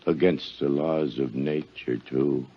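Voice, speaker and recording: An odd, pious American street newsman with an extremely deep, husky voice. He rambles solemnly and haltingly, in vintage radio drama style, on a muffled recording. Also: fairly even intonation, heavily acted. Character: odd, pious, rambling male dockside newspaper seller. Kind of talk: 1950s radio detective drama acting.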